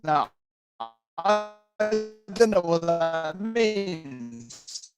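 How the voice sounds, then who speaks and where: A man's voice: a little high, very animated, extremely slow, over loud music. A man teaching interpreters in an online master class, speaking conversationally and repeating phrases as he works through examples. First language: English. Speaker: interpreting lecturer. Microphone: video call.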